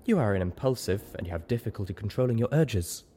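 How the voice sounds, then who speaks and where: A man voicing a calm, observant female zebra in an audiobook story, speaking frankly in measured, formal phrases. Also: on the low side, a little hiss or hum, natural voice. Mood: cool, frank.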